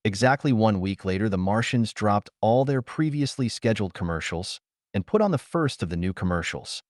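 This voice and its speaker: A man reading pulp science fiction as an audiobook narrator, in an expressive, theatrical way. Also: fairly low pitch, moderate pace, dry recording, clean studio sound.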